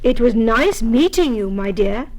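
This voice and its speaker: A woman's voice: a little high, lively intonation, unhurried, darkish tone, poor audio. A woman voicing a sly male wolf who speaks in a falsely sweet, coaxing way.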